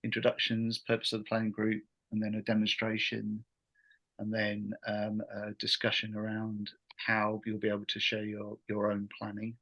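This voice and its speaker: Guy middle aged running an online workshop, kinda halting, not much pitch movement. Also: completely flat delivery, fairly bright tone, highs cut off. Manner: halting, hesitant phrasing. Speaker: workshop host, education trainer. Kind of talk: informal online workshop presentation. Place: video call.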